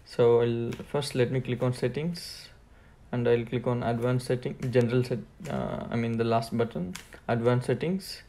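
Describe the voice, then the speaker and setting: A man's voice: medium pitch, little pitch movement, moderate pace, mellow. A man presenting a hands-on product setup tutorial in a plain, step-by-step instructional way.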